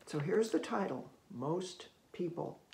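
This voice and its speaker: Older storybook reader with a creaky voice. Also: medium pitch, expressive, background noise. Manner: gentle, unhurried, musing with soft hmms and ohs. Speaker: children's read-aloud host. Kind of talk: picture book read-aloud with asides to children. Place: small room indoors at home.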